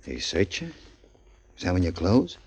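A man's voice: low voice, huge pitch swings, moderate pace, a little mellow, breathy edge, full character voice. An actor playing a nervous, shifty pool hall owner in his forties who stammers through anxious questions, in 1940s radio acting. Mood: wheedling. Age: 40s. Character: big fortyish pool hall owner, nervous, then menacing. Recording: dull lo-fi 1940s radio recording.

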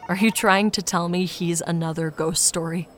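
A woman playing a weary, earnest male investigator in an audio drama, narrating in a dry, deadpan way. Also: fairly low pitch, moderate pace, a little hiss or hum, lightly acted. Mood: dry, suspicious. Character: weary, earnest male podcast investigator telling his strange story. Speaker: narrator and lead character. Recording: loud music under the voice. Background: loud music.

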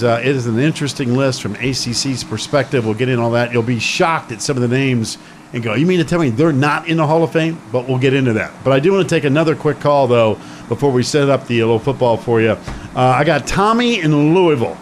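A man's voice: mid-range voice, fairly quick, a little hiss or hum. A man hosting a sports talk radio show, chatting with a co-host and taking phone calls in an emphatic, repetitive way. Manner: chatty, emphatic, repeats himself.

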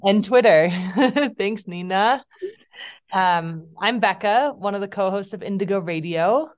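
A woman co-hosts a community radio program in a relaxed, conversational way. Her voice is smooth and clear, and she laughs easily and fills pauses with ums as she works through her ideas. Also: mid-range voice. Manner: chatty, laughs often, thinks aloud with ums. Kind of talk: casual radio chat.

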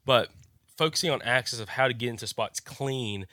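A man's voice: mid-range voice, brisk, pushed and bright, dry recording, studio quality. A man, nasal from a cold, chatting casually as a podcast co-host, rambling with lots of fillers and restarts.

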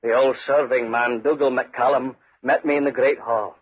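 A man's voice: mid-range voice, steady tone, moderate pace, slightly rough, natural voice. An actor playing a humble, uneasy tenant farmer who tells his tale in earnest, folksy 1940s radio style. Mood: earnest storytelling. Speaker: narrator and lead character. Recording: muffled, noisy 1940s radio recording.

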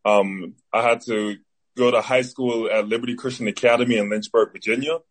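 Young bahamian guy, athlete doing a casual interview, medium pitched voice. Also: steady tone, brisk. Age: 20s. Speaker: interview guest, football player.

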